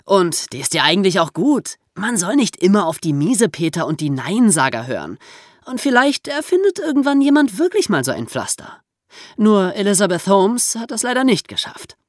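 A woman's voice: medium pitch, very animated, normal speed, no room sound, clean studio sound. A woman hosting a podcast for young listeners, explaining and storytelling in a conversational way with casual asides. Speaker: podcast host.

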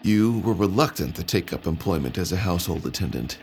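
An actor playing a polite, earnest researcher who interviews an elder in an audio drama, speaking formally. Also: male voice, low-pitched, animated, a little fast, a little mellow. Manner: formal, courteous. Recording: clear background noise and ambience.

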